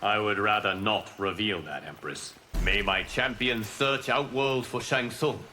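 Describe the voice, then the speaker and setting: Solemn guardian god, lowish and forceful, formal and cryptic, fighting-game hero. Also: male voice, measured pace, a little hiss or hum. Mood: grave, counseling. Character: solemn fire god guardian of Earthrealm, male. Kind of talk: video game voice acting.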